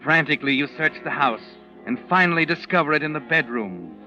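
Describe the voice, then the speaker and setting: A man's voice: on the high side, animated, brisk, pushed and bright. A man playing an ominous, taunting narrator in a 1940s radio mystery, speaking in a dramatic, insinuating way. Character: ominous all-knowing male narrator taunting the accused man. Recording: muffled old 1940s radio recording, music behind.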